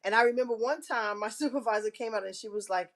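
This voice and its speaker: A woman hosting a live online show, telling a candid personal story to her viewers in a big, carrying voice, frank and self-mocking, often clearing her throat. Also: fairly high pitch, fast.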